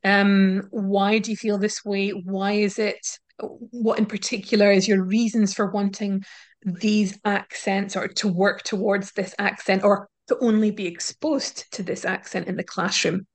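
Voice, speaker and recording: A woman's voice: medium pitch, flat delivery, unhurried. Woman professor explaining research findings. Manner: thoughtful, explanatory, with frequent ums and restarts. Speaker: linguistics professor, guest. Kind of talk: academic interview answers. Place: university in Scotland.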